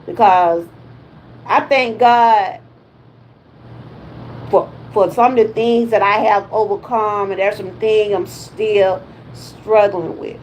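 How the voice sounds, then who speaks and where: A woman's voice: medium pitch, steady tone, takes it slowly, long pauses, a little mellow, slight background noise, low-quality recording. A woman in her fifties with a Southern US accent, chatting casually on her wellness livestream with folksy exclamations. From Georgia, USA. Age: 50s. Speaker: wellness vlogger.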